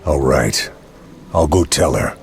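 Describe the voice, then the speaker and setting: An actor playing an earnest, honour-bound monster hunter in a video game, gravelly and subdued. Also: male voice, very deep voice, expressive, slow pace, a little hiss or hum, full character voice. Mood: conflicted, earnest.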